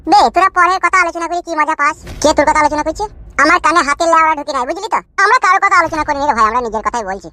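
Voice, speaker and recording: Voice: sits high, racing, bright, forceful tone, no echo. A performer voicing a cheeky, foul-mouthed village cartoon character with a rural West Bengal accent, arguing in brash slang. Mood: bickering. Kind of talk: comic cartoon dialogue.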